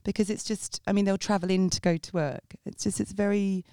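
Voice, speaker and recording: A woman's voice: mid-range voice, steady tone, brisk, creaky, dark tone, dry recording, studio quality. A woman from England with a mixed Sussex and Essex English accent, chatting freely as an interview guest, rambling with restarts. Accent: English, mixed Sussex and Essex with a touch of Australian. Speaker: guest, jazz singer. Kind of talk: casual interview chat.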